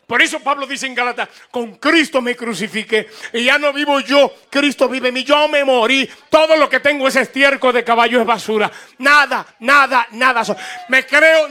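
Radio preacher, man, high gravelly voice, emphatic and repetitive. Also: pushed and bright. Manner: fervent and emphatic, with repetition and rising exhortation. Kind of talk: evangelical sermon.

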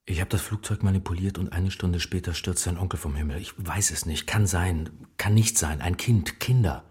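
A ruthless henchman in a radio play. The voice sits low and comes in cold, clipped fragments, guilty and menacing. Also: normal speed, a little mellow, slightly rough. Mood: grim, terse. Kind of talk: radio drama acting.

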